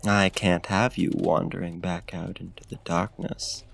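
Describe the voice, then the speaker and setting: An ancient male vampire, acted by a man, suave and predatory, speaks in a low voice with a gravelly fry. He draws out his formal, old-fashioned phrases very slowly, slipping toward breathy whispers in an intimate, menacing ASMR roleplay. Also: little pitch movement. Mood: quietly menacing. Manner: formal, archaic phrasing, slow deliberate pauses, courtly endearments.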